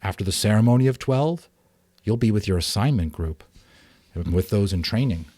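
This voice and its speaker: Man acting a storybook mom, caring, earnest, patient advice, mellow, dry sound. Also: on the low side, expressive, normal speed, no room sound. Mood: cautioning. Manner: patient, explanatory, gently advising.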